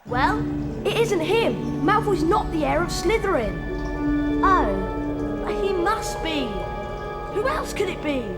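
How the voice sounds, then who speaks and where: A woman voicing a bright, eager English schoolgirl in a video game. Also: extremely high pitch, very animated, takes it slowly, pauses often, exaggerated voice. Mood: earnest, eager. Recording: hall reverb, loud music behind.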